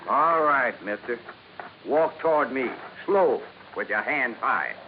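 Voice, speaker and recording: A man's voice: mid-range voice, lively intonation, takes it slowly. An actor playing a crusty, folksy marshal in his sixties with a frontier drawl, in old radio drama style. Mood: stern, threatening. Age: 60s. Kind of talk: 1950s radio drama acting. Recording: muffled old radio broadcast, noisy background.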